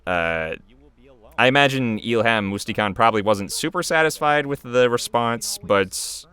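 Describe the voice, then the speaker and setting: A man's voice: medium pitch, expressive, moderate pace, pushed and bright, clear, resonant tone. A man giving casual, off-the-cuff commentary as a video creator, chatty and rambling.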